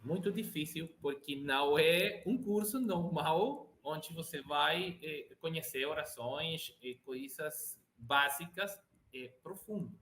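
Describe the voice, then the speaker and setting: A man's voice: on the high side, takes it slowly, fairly bright tone. A Venezuelan man with Spanish as his first language, speaking Portuguese with a Venezuelan accent as an interview guest, hesitant and often restarting as he searches for words. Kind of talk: casual interview chat.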